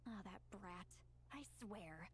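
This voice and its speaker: A woman voicing a self-assured, easygoing female warrior in a video game, expressive and conversational. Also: mid-range voice, slow pace, a little hiss or hum, natural voice. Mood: exasperated. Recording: game music behind.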